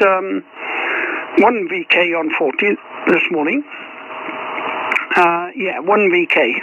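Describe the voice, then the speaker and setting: A man chatting casually as an amateur radio operator over shortwave, rambling along in a hesitant, understated way. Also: high-pitched. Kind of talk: casual radio chat between hobbyists. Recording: tinny, phone-like shortwave radio, clear static.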